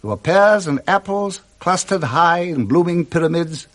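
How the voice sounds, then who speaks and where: A man with a British accent giving expressive scripted narration of a classic story in 1960s radio style, relishing each detail. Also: fairly high pitch, normal speed.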